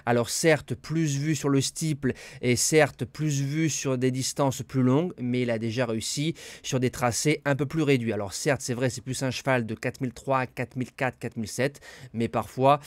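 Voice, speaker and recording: A man giving horse racing tips, slightly hoarse from a cold, listing his picks in a brisk, matter-of-fact way. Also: medium pitch, steady tone, no room sound. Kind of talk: betting tips monologue.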